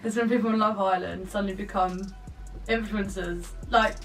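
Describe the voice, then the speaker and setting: A young woman of twenty-one chats in a playful, slightly sardonic way. She sits off-mic, and clear music runs underneath. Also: mid-range voice, steady tone, moderate pace, far from the mic, low-quality recording. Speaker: student interviewee. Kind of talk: casual chat with a friend.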